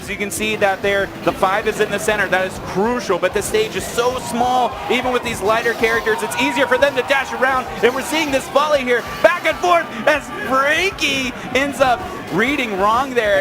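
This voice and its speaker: A man giving live play-by-play as an esports tournament commentator, excitable and hyping up the players. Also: very high-pitched, moderate pace, hardly any pauses, music in the background, crowd noise.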